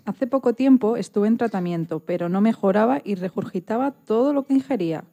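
A woman's voice: mid-range voice, fairly quick, dark tone. A woman voicing an ailing female bird in a scripted first-person reading. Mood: wistful. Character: sick female bird recalling her last years.

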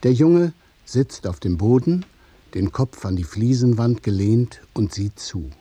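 A man narrating a scripted literary radio play in a deliberate, measured storytelling manner. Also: mid-range voice, expressive, dark tone, slightly rough, dry recording.

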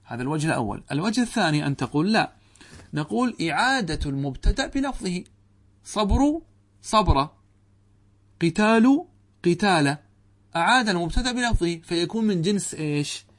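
A man's voice: sits high, very animated, brisk, pauses often. A man teaching Arabic grammar to students, posing questions to the class and answering them himself, reciting quoted text. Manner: addresses students directly, checks understanding.

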